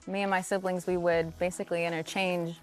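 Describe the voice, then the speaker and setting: A woman, a singer, speaking reflectively in an interview outside a family house, sometimes in quick bursts. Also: fairly low pitch, flat delivery, faint music behind. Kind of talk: casual interview answers.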